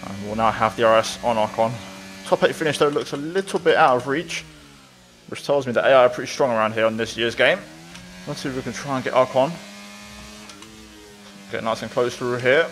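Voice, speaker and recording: A man's voice: medium pitch, a little fast, long pauses, a bit bright, slight background noise. Casual man commentating on racing gameplay. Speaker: gaming video creator.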